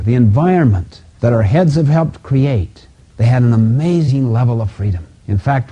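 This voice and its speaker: A middle-aged man giving a motivational self-help lecture in a didactic, emphatic way with deliberate phrasing. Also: medium pitch, dark tone, breathy edge. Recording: dull lo-fi audio.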